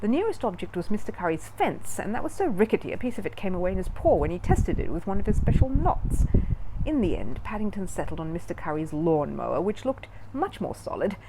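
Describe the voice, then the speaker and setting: Animated woman reading a children's story. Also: on the low side, brisk, noisy background. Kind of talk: expressive children's audiobook narration.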